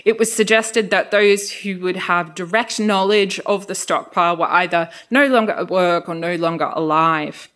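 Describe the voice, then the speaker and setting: A woman researcher with an Australian accent over a Greek first language, giving a measured academic talk with occasional fillers. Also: lowish voice, fairly bright tone. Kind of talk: festival lecture, partly read. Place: lecture venue.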